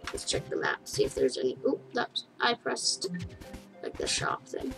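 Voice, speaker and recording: A woman casually narrating her play of a game for viewers, rambling and chatty, with giggles and excited yelps. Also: extremely low pitch, normal speed, creaky. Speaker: gaming YouTuber. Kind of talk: casual let's play commentary. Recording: game music under the voice.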